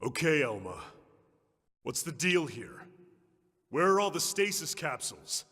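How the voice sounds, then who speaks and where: A man playing a brash, sarcastic soldier in a video game, blunt and slangy, with a husky voice. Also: on the high side, big pitch swings, a little slow, pushed and bright, full character voice. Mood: irritated. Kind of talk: video game cutscene acting. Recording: long reverberant echo.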